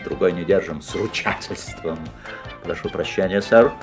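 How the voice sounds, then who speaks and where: An actor playing a polite, insinuating, mysterious magic-shop salesman in a radio play, with deliberate, unctuous courtesy. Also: low-pitched, close to an everyday voice. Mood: smooth, inviting. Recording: 1970s audio play, music behind.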